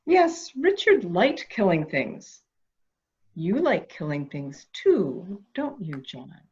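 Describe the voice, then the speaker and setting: A woman playing a calculating, measured woman who coaxes a dangerous childlike man in an amateur mystery play. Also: lowish voice, very animated, darkish tone, dull audio. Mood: coaxing, goading. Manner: coaxing, measured, deliberate. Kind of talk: amateur radio-play acting.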